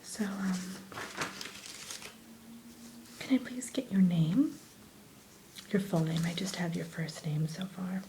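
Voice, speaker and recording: A kind therapist , played by a woman, whispers to a patient in an ASMR roleplay. Her voice is very mellow with a crackly, creaky edge. She is gentle, unhurried and reassuring, with faint noise behind her. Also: lowish voice. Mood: gentle, welcoming. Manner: soothing, gentle, attentive, unhurried.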